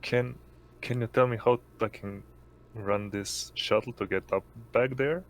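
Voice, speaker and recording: A man's voice: lowish voice, measured pace. A man playing a tabletop role-playing game, speaking casually and hesitantly as he searches for words. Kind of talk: casual improvised role-play.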